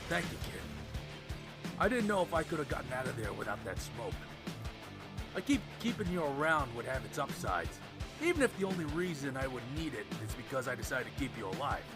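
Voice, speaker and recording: A man's voice: mid-range voice, big pitch swings, fairly quick, music in the background, natural voice. An actor playing a defiant male outlaw on the run in an audio drama, sardonic and wisecracking. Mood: wry musing.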